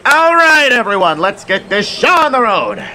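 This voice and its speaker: Man acting an excitable, high-swooping inventor, noisy track. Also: moderate pace. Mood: impatient, eager. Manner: exclamatory, bossy. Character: excitable, showy male inventor eager to launch his machine. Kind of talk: audio drama acting.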